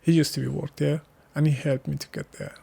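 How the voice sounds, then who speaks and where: A man from Afghanistan with an Afghan accent and Hazaragi as his first language, answering casually in halting, searching English. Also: fairly high pitch, huge pitch swings, creaky, dark tone, husky. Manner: halting, searching for words in non-native English. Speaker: interviewee. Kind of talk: casual interview conversation.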